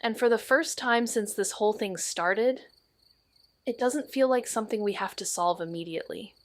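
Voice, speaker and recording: Woman voicing a hushed, reflective young sleuth. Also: mid-range voice, normal speed, no room sound, lightly acted. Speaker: first-person narrator. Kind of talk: audio drama narration.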